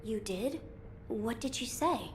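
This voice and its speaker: A woman's voice: fairly high pitch, lively intonation, takes it slowly, slight background noise. A woman voicing an earnest young boy lord in a video game, formal and thoughtful. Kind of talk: video game cutscene acting.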